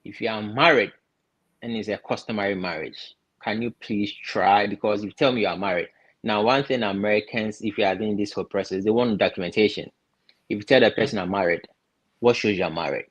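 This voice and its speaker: A man from Ghana giving casual step-by-step advice, often pausing and repeating words as he thinks. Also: fairly low pitch, breathy edge, highs cut off. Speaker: guest explaining visa steps.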